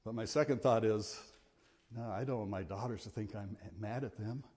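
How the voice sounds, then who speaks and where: A middle-aged man with a big voice preaching a sermon, earnest and at times forceful in his exhortations. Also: on the low side, moderate pace.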